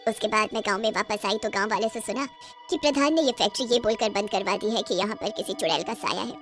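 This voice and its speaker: A woman voicing a vengeful, gloating witch in a cartoon horror story, taunting her captives with drawn-out threats. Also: mid-range voice, lightly acted. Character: vengeful, gloating female witch who runs a factory.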